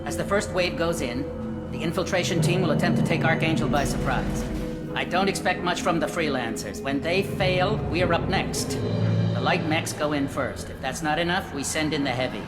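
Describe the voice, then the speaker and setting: An actor playing a curt, disdainful mercenary gang boss in a video game. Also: male voice, on the high side, normal speed, lightly acted. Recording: loud game music behind the voice.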